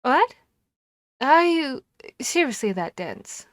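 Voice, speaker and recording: A woman's voice: sits high, very animated, no room sound, full character voice. Woman voicing a courteous, commanding harpy, close and dry. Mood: flustered, embarrassed. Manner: formal, deliberate phrasing with hesitant pauses. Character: harpy woman seeking a human mate, commanding yet courteous and bashful. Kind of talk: ASMR audio roleplay.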